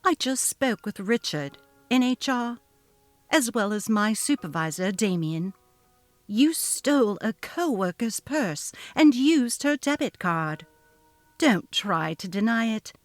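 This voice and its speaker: A woman's voice: mid-range voice, no room sound. A woman voicing a haughty, narcissistic female office manager who talks down to her staff, in a dramatically read story. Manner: haughty, cutting, demanding. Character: snobby, imperious, narcissistic female office manager, cold and bullying. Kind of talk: dramatic reading of a text-message story.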